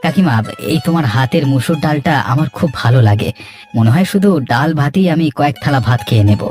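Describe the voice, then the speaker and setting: A man's voice: on the high side, animated, fast talker, darkish tone, soft background music, close to an everyday voice. A man voicing an idle, carefree, argumentative village son with a grumbling, dismissive manner in a cartoon. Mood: friendly, familiar. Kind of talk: Bengali cartoon dialogue.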